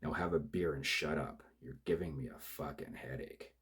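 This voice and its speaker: Rough, smoke-worn killer, very low and husky, slow contempt, read by a male narrator. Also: animated, a little mellow, exaggerated voice. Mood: menacing. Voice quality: rough, tobacco-cured.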